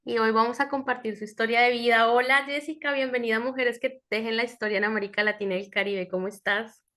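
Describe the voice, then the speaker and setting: A woman's voice: a little high, fairly even intonation, quick pace. A woman hosting an interview, introducing her guest and asking questions in an affirming way. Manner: warm, affirming, asks questions with tag "¿no?". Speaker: interview host.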